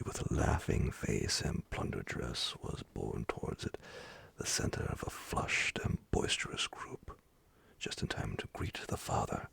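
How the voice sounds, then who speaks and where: Male ASMR narrator: hushed breathy whisper, wide swings in intonation, close and dry. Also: very deep voice, no echo. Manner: soothing, hushed, expressive reading. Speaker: storyteller, narrator. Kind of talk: whispered ASMR bedtime story reading. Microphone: close microphone, dry.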